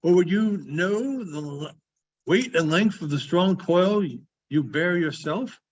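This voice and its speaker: A man voicing the mournful, doomed ghost of a dead businessman in a slow, solemn, declaiming storybook reading. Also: sits high, lively intonation, slight background noise. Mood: mournful, stern. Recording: dull, muffled sound.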